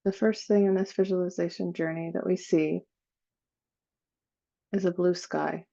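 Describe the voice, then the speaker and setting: A woman leading a guided meditation in a slow, soothing manner, with long pauses between phrases. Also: lowish voice, fairly even intonation, creaky, mellow, highs cut off.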